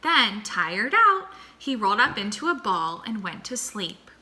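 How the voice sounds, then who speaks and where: A woman teacher reading a picture book aloud to children, slow and expressive, then posing questions to them. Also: mid-range voice. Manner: slow, expressive and warmly animated.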